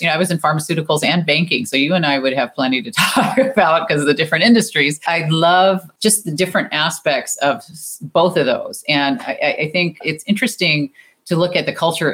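A woman's voice: deep voice, fairly bright tone, husky. A woman hosting an interview podcast, talking in a friendly, conversational way with some restarts. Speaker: podcast host.

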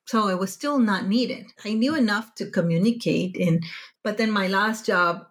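A woman whose first language is Spanish, telling her story as a podcast guest in a casual, chatty way, with frequent restarts and self-corrections. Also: medium pitch. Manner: chatty, animated when recounting frustration, frequent self-corrections.

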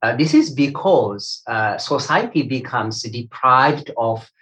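A man from Ethiopia whose first language is Amharic, speaking with an Ethiopian accent. He reads a poem slowly and with care, then answers questions haltingly, with many "uh" fillers. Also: mid-range voice, lively intonation, fairly bright tone. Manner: slow, measured recitation. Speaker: poet and academic guest. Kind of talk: poetry reading then interview answers.

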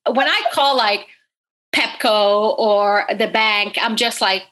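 A woman's voice: medium pitch, slow, fairly bright tone, low-quality recording. A woman in her forties from Sweden, a podcast host talking candidly and chattily as an interview guest. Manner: chatty, candid, laughs easily. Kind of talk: casual interview storytelling.

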